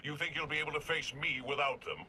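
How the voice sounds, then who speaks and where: A man's voice: fairly high pitch, quick pace, pushed and bright, slight background noise. An actor playing an obsessed, proud male leader in a video game, speaking in a commanding, scornful manner.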